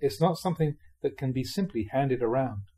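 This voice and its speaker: Mellow man reading spiritual teachings aloud. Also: mid-range voice, lively intonation, brisk. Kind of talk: reading a dharma talk aloud with informal asides.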